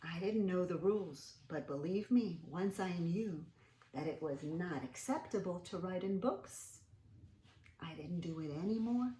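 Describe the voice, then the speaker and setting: Very animated woman reading to children. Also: on the low side, unhurried, slight background noise. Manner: expressive, with gasps, interjections and questions to listeners. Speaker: children's read-aloud host. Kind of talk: animated storybook read-aloud for young children. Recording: roomy, echoing sound.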